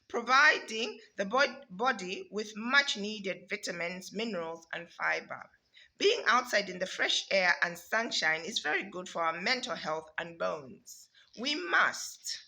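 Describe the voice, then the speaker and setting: A woman from Zambia with a Zambian accent, reading a children's picture book aloud with lively emphasis and the odd hesitant filler. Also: medium pitch, gravelly. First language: Zambian language. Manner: animated, stretching words for emphasis, with hesitant fillers. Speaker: storybook reader.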